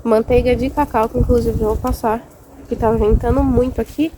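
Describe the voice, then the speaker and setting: A Brazilian woman chats her way through a casual outdoor vlog, her voice sitting a bit high and a little congested from a cold. She exclaims over small things as she walks. Clear wind noise rushes over the phone recording. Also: steady tone. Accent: Brazilian Portuguese. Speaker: vlogger. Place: outdoors on rural land, windy. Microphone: handheld phone.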